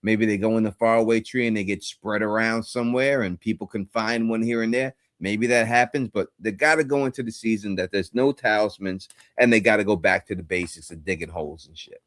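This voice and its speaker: A man's voice: fairly low pitch, fairly quick, few pauses, fairly bright tone, no echo. Laid-back man rambling on a livestream. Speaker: co-host. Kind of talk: casual call-in show chat.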